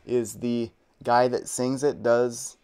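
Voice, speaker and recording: A man in his thirties hosting a live card-opening stream indoors, talking in a low-key, dry way with mumbled asides. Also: level tone, slow pace, a little mellow, clear, resonant tone. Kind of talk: casual live-stream chat. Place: indoor room full of card products.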